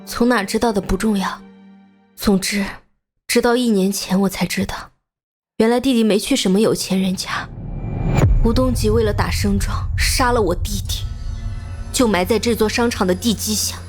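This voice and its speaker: A bitter young woman played by an actress confesses in an animated drama. Her voice is low-key and melancholy, at a mid-range pitch, with faint music underneath. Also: lightly acted. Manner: subdued, measured, melancholy.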